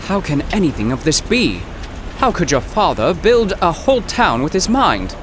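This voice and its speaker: An actor playing an earnest, poetic adventurer who speaks in florid, theatrical phrases in an audio drama. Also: male voice, fairly high pitch, sing-song, moderate pace, background noise. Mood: earnest, questioning. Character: earnest, wordy, poetic male adventurer, easily carried away.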